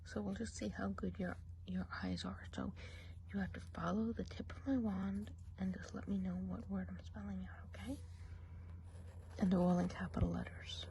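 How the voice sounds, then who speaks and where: A woman's voice: mid-range voice, lively intonation, normal speed, gravelly, a little hiss or hum, low-quality recording. Woman playing a gossipy good witch of the woods. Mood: soft, coaxing. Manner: chatty, gossipy, drifting between soft speech and whispers. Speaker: ASMR performer. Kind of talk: ASMR role play.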